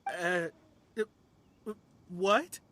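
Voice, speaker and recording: A woman's voice: fairly low pitch, animated, extremely slow, a bit bright, slight background noise, heavily acted. A woman playing an anxious, superstitious townswoman in a video game, hesitant, earnest and polite. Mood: bewildered. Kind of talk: video game character acting.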